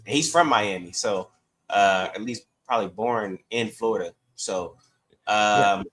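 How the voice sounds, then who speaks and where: A man's voice: lowish voice, measured pace, fairly bright tone, rough recording. A man co-hosting a podcast, chatting casually about sports in a conversational way. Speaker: sports talk podcast co-host.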